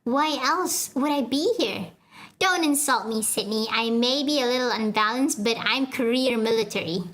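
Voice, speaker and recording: A woman's voice: fairly high pitch, moderate pace, a bit bright. A woman voicing a stern male career army general who speaks bluntly and steadily. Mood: resolute.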